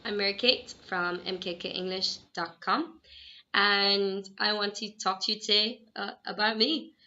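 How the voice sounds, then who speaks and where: A young Irish woman, an English teacher, introducing herself to students in a friendly, encouraging and clearly measured way. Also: mid-range voice, fairly even intonation, pushed and bright. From Ireland. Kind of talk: self-introduction video to students. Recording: dull, slightly lo-fi sound.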